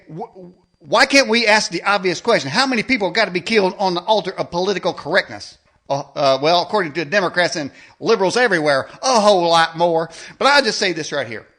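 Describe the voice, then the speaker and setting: Male talk host, high forceful voice, improvised rant, sarcastic and repetitive, stumbling delivery. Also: expressive, fairly quick. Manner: stammering, repeats phrases, sarcastic, colloquial. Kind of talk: improvised rant monologue with mocking role-play.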